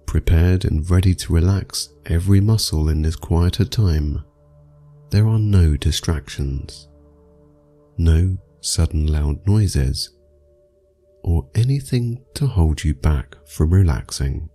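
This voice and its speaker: A man narrating a scripted guided meditation for sleep, speaking soothingly and in measured phrases with long pauses. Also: sits low, dark tone, soft background music.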